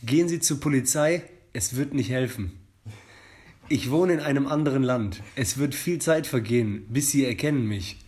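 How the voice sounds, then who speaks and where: A man's voice: medium pitch, normal speed, darkish tone. A young man hosting a casual podcast, reading a scam email aloud in a mock-formal, joking way. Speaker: podcast host. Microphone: studio microphone.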